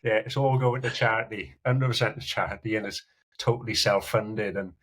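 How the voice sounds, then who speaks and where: A man's voice: on the low side, steady tone, a little fast, fairly bright tone, slight background noise. A middle-aged man with a Welsh accent, a podcast guest telling his story in loose, chatty talk full of "you know"s. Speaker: guest endurance athlete. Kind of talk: casual podcast chat.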